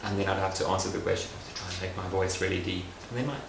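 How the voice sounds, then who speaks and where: Young adult man, documentary interviewee, low pitch, gravelly, unhurried and reflective. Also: steady tone, background noise. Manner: thoughtful, soft-spoken, frequent fillers. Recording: roomy echoey recording.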